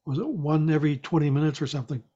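A man's voice: a little high, racing, warm tone, husky. A man chatting casually in a hesitant, mild-mannered, rambling way. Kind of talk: casual chat. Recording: dull, lo-fi audio.

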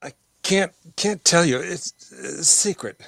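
An actor playing a gravely wounded pilot in an old-time radio drama, stammering and gasping, weak and anguished. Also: male voice, fairly high pitch, takes it slowly, fairly bright tone, dry recording, exaggerated voice. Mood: weak, straining.

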